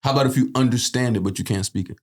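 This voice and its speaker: A man's voice: mid-range voice, racing. A young man co-hosting a comedy talk show, raised in a Haitian family in the US, chatting in a laid-back, slangy, teasing way. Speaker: podcast co-host. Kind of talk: casual comedic chat.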